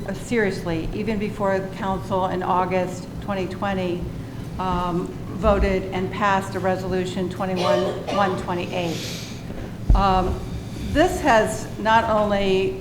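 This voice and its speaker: A woman running for city council, answering forum questions with frequent ums and uhs. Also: mid-range voice. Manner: hesitant, many ums and uhs. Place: public meeting hall. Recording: echoey hall with noise.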